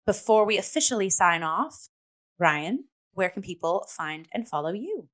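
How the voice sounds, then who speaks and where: Bright-voiced woman chatting about theatre. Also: medium pitch, very animated, normal speed. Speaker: talk show host and theatre reviewer. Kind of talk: casual review discussion.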